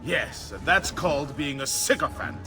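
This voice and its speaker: An actor playing a cold, mocking conspirator in a video game, sarcastic and commanding. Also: male voice, fairly high pitch, lively intonation, normal speed, over music, natural voice. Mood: sneering. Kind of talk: video game cutscene acting.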